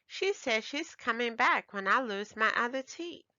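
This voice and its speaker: Seeking a woman to play an excitable storybook little girl: high, bright, exclaiming, easily upset. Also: measured pace, dry recording, lo-fi sound. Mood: eager, proud. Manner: exclaiming, emphatic, childlike.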